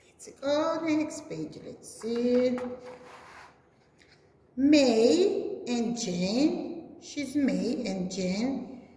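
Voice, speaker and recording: Woman, preschool teacher, very slow read-aloud, animated swooping intonation, simple repeated phrases, echoey classroom. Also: a little high. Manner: simple, repetitive, exaggerated sing-song emphasis. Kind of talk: read-aloud storytelling for small children. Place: indoor classroom. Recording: echoey room with long reverb.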